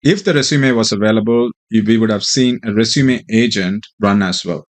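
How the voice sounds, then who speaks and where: A man explaining technical material as a software developer, speaking hesitantly with frequent ums and pauses. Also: fairly low pitch. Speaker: software developer presenting a demo. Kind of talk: tech tutorial walkthrough and mock interview answers.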